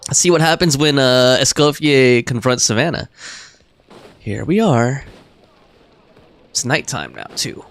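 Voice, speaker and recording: A man's voice: mid-range voice, animated, unhurried, long pauses. A man giving casual live game commentary as a streamer, chatty and informal. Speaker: livestreamer.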